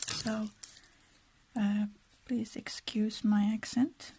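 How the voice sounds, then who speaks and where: A native Swedish-speaking woman from Finland with a Finland-Swedish accent and a soft, breathy, husky voice, narrating her crafting casually in hesitant, unhurried murmured asides. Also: mid-range voice, little pitch movement, a little hiss or hum. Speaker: craft vlogger.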